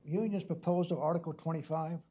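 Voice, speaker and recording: A man with a stutter questioning bluntly and argumentatively as a council member at a public meeting, repeating himself haltingly. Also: fairly high pitch, steady tone, dull audio. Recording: noisy public meeting room recording.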